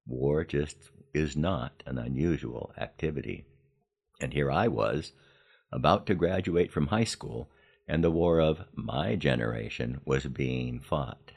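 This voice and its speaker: An American man in his seventies narrating a scripted personal podcast in a measured storytelling manner with deliberate emphasis. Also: very deep voice, creaky, darkish tone. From Los Angeles, United States. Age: 70s. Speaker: podcast host.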